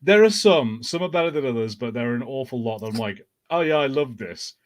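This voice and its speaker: Male podcast co-host, mid-pitched, relaxed conversational chat. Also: a little fast.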